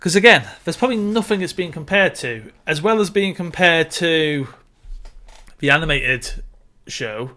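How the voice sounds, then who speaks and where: A man's voice: fairly high pitch, lively intonation, a little slow, pushed and bright. A man co-hosting a show, discussing films in a casual, conversational way. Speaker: podcast co-host.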